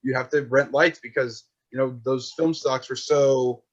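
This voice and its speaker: Young guy, 20s, loose slangy chatter peppered with filler, mid-pitched, slightly muffled lo-fi mic. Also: steady tone, moderate pace. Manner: rambling, slangy, full of "like" and "dude". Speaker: podcast guest, filmmaker. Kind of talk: casual chat. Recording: dull lo-fi sound.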